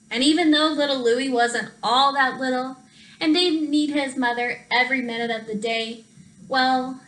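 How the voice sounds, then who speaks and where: Lady doing storytime for kids, expressive, kinda high voice, slow, roomy echo. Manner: expressive, addresses children directly. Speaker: storytime reader, teacher. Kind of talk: picture-book read-aloud for children.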